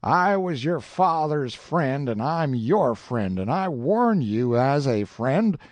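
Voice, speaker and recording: A man voicing a stern older town doctor who speaks forcefully and indignantly. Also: medium pitch, very animated, slow, no room sound, voice pushed up. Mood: pleading.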